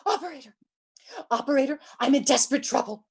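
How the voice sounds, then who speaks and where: A woman's voice: high-pitched, expressive, quick pace, a little mellow. A woman playing a frantic, bedridden invalid who stammers and repeats herself in terror, in old-time radio suspense style. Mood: desperate panic. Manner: stammering, repeating words in panic.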